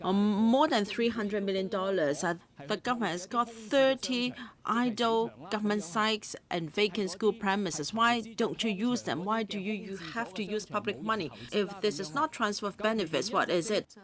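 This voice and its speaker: Challenging female lawmaker, mid-pitched. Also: normal speed, few pauses. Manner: challenging, rhetorical questions. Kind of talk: pointed questioning.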